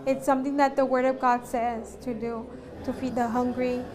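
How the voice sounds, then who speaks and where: Even-toned woman amid crowd chatter. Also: a little high, moderate pace. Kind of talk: interview answer.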